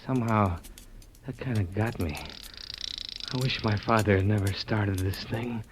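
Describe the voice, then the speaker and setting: An actor playing an anxious young man torn between loyalty to his father and his conscience, speaking with uneasy tension and dropping to a hushed tone when he narrates, in a 1950s radio drama. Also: on the low side, unhurried, background noise, lightly acted. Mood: somber.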